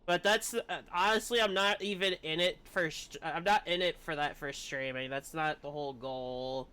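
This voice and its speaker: A man with a fairly high, very smooth and clear voice talks casually on a game livestream. He sounds hesitant and confiding, speaking candidly and putting himself down as he goes. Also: normal speed, bright, forceful tone. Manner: hesitant, self-deprecating, confiding. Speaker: game streamer.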